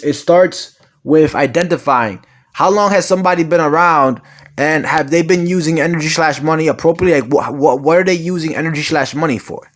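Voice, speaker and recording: Male online commentator, mid-pitched, preachy and insistent, hammering points through repetition in grandiose language. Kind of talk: impassioned opinion monologue.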